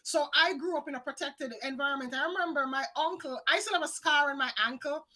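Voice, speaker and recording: A woman from Jamaica, chatting lively with viewers as a psychic co-host and calling them guys and hon. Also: sits high, quick pace, a crowd in the background. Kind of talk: live-stream reading, casual chat.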